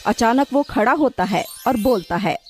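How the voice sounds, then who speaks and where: High-pitched woman narrating a children's story. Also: animated, moderate pace, a little mellow. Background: background music.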